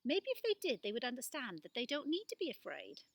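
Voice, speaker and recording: A woman's voice: high-pitched, very animated, brisk, full character voice. A woman voicing a frank, loyal mountain boy in a storybook, speaking directly and expressively. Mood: frustrated, sincere. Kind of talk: storybook character voice.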